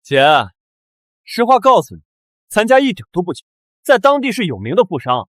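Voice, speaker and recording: An actor playing a sly, spoiled teenage younger brother, taunting, in a melodramatic short drama. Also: male voice, high voice, big pitch swings, moderate pace, a bit bright, no room sound, clean studio sound. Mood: smug, taunting. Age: young adult.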